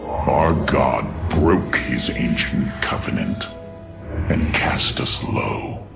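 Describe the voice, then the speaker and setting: Very deep, gravelly, sardonic alien warlord. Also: male voice, very slow, over music, full character voice. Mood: mocking, menacing. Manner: mocking, haughty, drawling. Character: sardonic, menacing alien warlord, haughty and scheming. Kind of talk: dramatic game cutscene acting.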